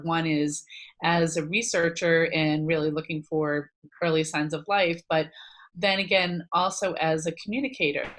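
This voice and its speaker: Middle-aged woman moderating, lowish voice. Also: a bit bright. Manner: friendly, hesitant with ums. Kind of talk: webinar moderating.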